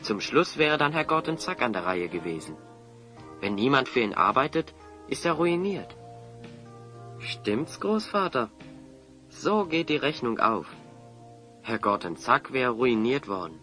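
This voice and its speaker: A man's voice: medium pitch, sing-song, measured pace, pauses often, pushed and bright, faint music behind. A man acting a clever, confident hero in an audio drama, articulate and assertive as he reasons things out. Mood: triumphant, explanatory. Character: clever, confident male hero explaining a scheme.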